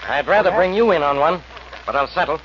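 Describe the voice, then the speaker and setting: Guy playing a sarcastic american police inspector, high voice, fast n swoopy, crackly muffled old radio drama. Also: lively intonation, natural voice. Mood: needling, brusque. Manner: sarcastic, needling, brusque. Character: gruff, sarcastic male police homicide inspector. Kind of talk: 1940s radio acting.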